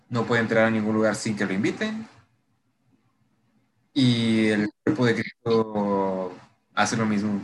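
Irreverent Mexican man, noisy recording. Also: on the low side. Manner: irreverent, heavy slang and swearing. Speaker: podcast co-host. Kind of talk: casual chat recapping a TV series.

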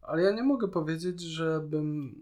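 A man's voice: on the high side, moderate pace, darkish tone. A man co-hosting a podcast, casually critiquing a series in an opinionated, sarcastic way. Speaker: podcast co-host reviewing a TV series.

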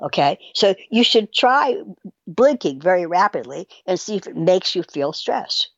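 Female podcast guest, dull lo-fi sound, lowish pitch, animated intonation, casual, rambling. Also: unhurried, gravelly, no echo. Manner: rambling, hesitant, thinking aloud. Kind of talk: casual conversational discussion.

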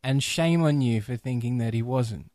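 A man co-hosting a film podcast, excitable and quick to laugh, sometimes breaking into loud impressions. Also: mid-range voice, dry recording, clean studio sound. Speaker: podcast co-host. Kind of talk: casual film discussion.

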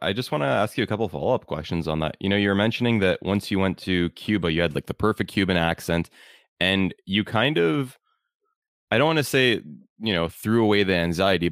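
A man's voice: sits low, fairly quick, fairly bright tone, dry recording, studio quality. A man hosting an interview, affirming his guest and asking careful follow-up questions. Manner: affirming, short backchannels. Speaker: podcast host.

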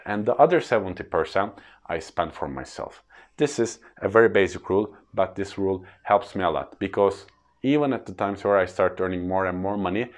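A man in his thirties with a Turkish accent, explaining personal finance in an earnest, plain way to camera. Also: low voice. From Turkey. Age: 30s. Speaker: freelance trainer.

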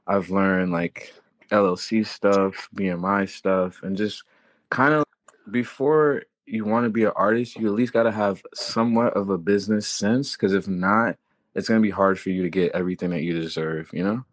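A young man from Florida, born in Haiti, a rapper and songwriter talking casually as a podcast guest in a relaxed, slangy way. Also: low-pitched, normal speed, dry recording.

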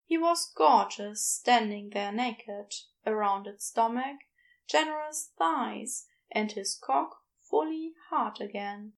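Female audiobook narrator, higher-pitched with vocal fry, very unhurried story reading. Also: expressive. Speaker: fan fiction audiobook reader.

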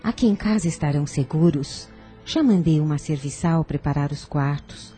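Actress as a low-voiced kindly aunt. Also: female voice, normal speed, soft background music, natural voice. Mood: kind, welcoming. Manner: gentle, maternal. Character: kind, hospitable older aunt, composed and welcoming. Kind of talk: radio drama acting.

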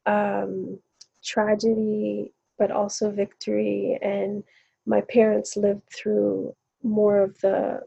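A woman's voice: medium pitch, monotone, slow, vocal fry, dark tone, slightly rough, lo-fi sound. A woman from South Africa co-hosting a casual podcast chat, talking in a rambling, reflective way with many fillers. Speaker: podcast co-host.

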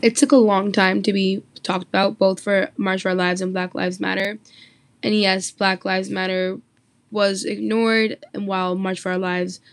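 An earnest young woman hosts a student discussion show. Her voice sits in a steady, narrow pitch band. The recording is close and dry. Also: moderate pace, dry recording. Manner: earnest, asks the panel questions. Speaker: host.

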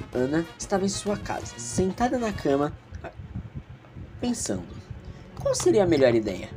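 A man with a Brazilian accent narrating an audio drama in a theatrical, confiding way with chatty asides. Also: mid-range voice.

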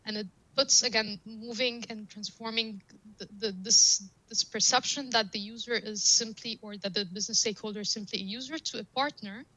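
Bright-voiced woman, native Arabic speaker. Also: fairly high pitch, fairly even intonation, normal speed, no room sound, low-quality recording. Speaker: guest, data science professional. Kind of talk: explanatory interview talk.

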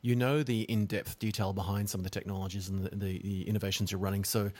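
Fast-talking male interview host. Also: fairly low pitch, little pitch movement, darkish tone. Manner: long winding questions, enthusiastic. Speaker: podcast host. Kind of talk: interview hosting.

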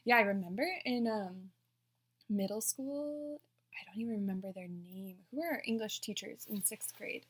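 Soft-voiced young woman chatting. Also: mid-range voice, moderate pace. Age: young adult. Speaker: podcast co-host. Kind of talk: casual chat among friends.

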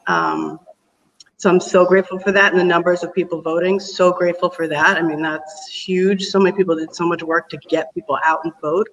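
A man's voice: sits high, fairly bright tone, recorded from a distance, rough recording. A man talking earnestly about breathing as a teacher on a panel discussion, rambling a bit and laughing often.

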